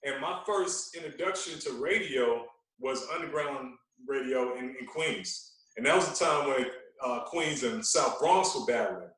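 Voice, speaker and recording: A man's voice: mid-range voice, lively intonation, unhurried, a bit bright. A man speaking as a DJ guest on a podcast, chatting casually in slang, with a very echoey sound. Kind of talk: casual chat. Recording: very echoey, roomy remote sound.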